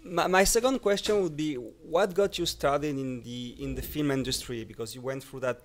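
A man's voice: a little high, normal speed. A man co-hosting a casual studio interview, upbeat, asking long questions. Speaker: podcast co-host. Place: small studio with screens. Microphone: studio mic on stand, headphones.

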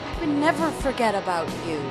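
A woman's voice: high voice, sing-song, fairly quick, over loud music, rough recording. A woman playing a cynical, emotional teenage schoolgirl in an amateur online radio drama. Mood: earnest. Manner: emotional, indignant, emphatic. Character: cynical, defiant teenage schoolgirl, secretly a model.

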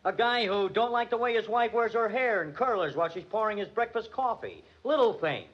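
A man's voice: high voice, lively intonation, a little fast. An actor playing a sarcastic, hard-boiled American police detective who needles in tough-guy slang, in a 1940s radio drama. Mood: tough, wry. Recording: dull, band-limited old radio recording.

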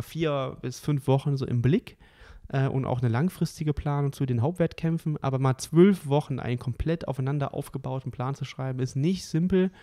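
A man co-hosting a casual podcast as a triathlon coach, talking in a relaxed way with lots of fillers and easy laughs. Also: a little high.

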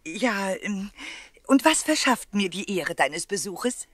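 An actress playing a good-humoured, unflappable schoolteacher in a children's radio play, with a wry, indulgent manner. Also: female voice, medium pitch, lively intonation, slow, dry recording, clean studio sound. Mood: amused.

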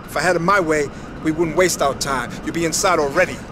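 An actor playing a stern, contemptuous probation officer who lectures with scorn in a video game cutscene. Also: male voice, fairly high pitch, quick pace, close to an everyday voice. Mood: stern warning. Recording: clear outdoor street and vehicle noise.

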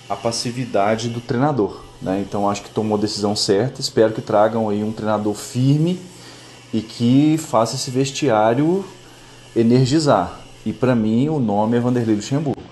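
A man leaving a voice message as a fan, giving a measured opinion. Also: medium pitch, a little mellow, a little hiss or hum. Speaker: caller.

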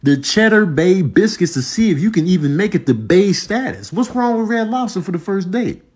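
An American man, a comedian doing slangy, mock-indignant comic commentary with drawn-out exclamations. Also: high voice, very animated, a little mellow, husky. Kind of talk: comedic voiceover commentary, riffing.

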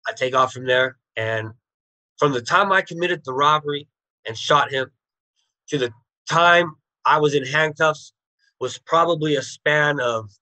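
A man's voice: a little high, measured pace, bright, forceful tone, dry recording, rough recording. A man in his thirties, a guest on a video call, bluntly recounting his past in plain street slang. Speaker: interview guest. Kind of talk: telling his life story.

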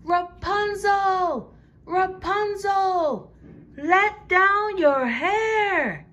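A woman playing a young storybook prince, calling out in a slow, loud sing-song. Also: extremely high pitch, lo-fi sound, pitched above the performer's own voice, exaggerated voice.

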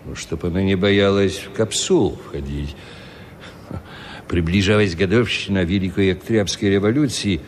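An actor playing a bitter, taunting former Soviet space-dog keeper with a Russian accent in a radio drama. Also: male voice, deep voice, moderate pace, a little hiss or hum, natural voice. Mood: mocking. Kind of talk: radio drama acting, mixing Russian and Polish.

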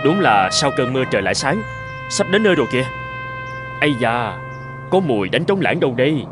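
An actor playing a young, easygoing and polite male traveller in an animated cartoon, with expressive delivery. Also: medium pitch, fairly quick, natural voice. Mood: teasing. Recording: music behind.

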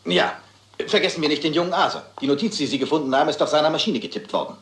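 An actor playing a brusque, impatient police inspector in a German crime radio play, gruffly official in manner. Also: male voice, fairly high pitch, expressive, very fast, fairly bright tone. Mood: urgent, determined. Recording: roomy, echoing radio play sound.

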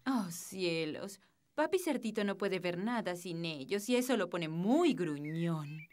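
A woman voicing a caring, brisk mother pig in a preschool cartoon, speaking in an expressive, motherly way. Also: on the low side, a little hiss or hum. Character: caring, brisk mummy pig, adult female.

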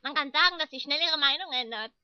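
A woman's voice: sits high, fast talker, no room sound, highs cut off, natural voice. A woman in an amateur anime fandub voicing tiny, cheeky fairy companions with sing-song teasing.

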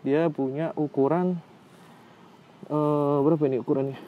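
A man's voice: a little high, fairly even intonation, normal speed, narrow, tinny audio. A young adult man giving a casual hands-on motorcycle review, speaking in a colloquial, slangy and exclamatory way. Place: outdoors on a residential street. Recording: outdoor phone recording with background noise.

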